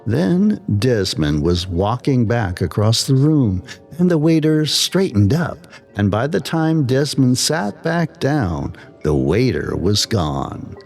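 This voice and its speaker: A man narrating a scripted slow-burn horror story in a measured way with dramatic pauses. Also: medium pitch, gravelly, a little mellow.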